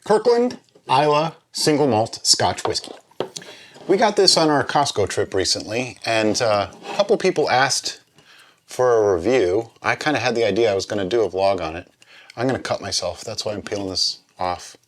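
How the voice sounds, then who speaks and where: Guy doing a casual tasting vlog, really animated up and down voice, thinks out loud and explains stuff. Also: on the low side, slight background noise. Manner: musing, explanatory, with pauses and checks of "okay?". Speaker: host of a drinks review vlog. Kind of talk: casual chat, tasting review.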